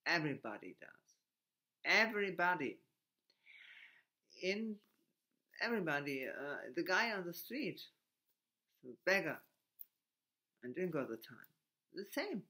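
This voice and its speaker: A man from Germany with a German accent, speaking haltingly in a reflective monologue with frequent restarts and broken grammar. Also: fairly high pitch, very animated, pushed and bright.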